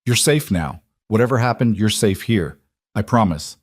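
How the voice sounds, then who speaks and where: A man voicing a gentle male volunteer firefighter, speaking soft and soothing. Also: fairly low pitch, lively intonation, moderate pace, dry recording, studio quality.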